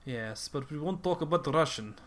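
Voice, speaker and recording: A man's voice: on the high side, lively intonation, a little fast, fairly bright tone. A young Australian man co-hosting a podcast, rambling and laughing through casual chat, a bit tired. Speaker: podcast co-host.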